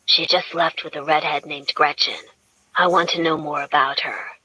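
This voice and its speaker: A woman's voice: low-pitched, steady tone, normal speed, sharp tone, slight background noise, low-quality recording. A woman voicing a scarred, world-weary wealthy man who speaks intently.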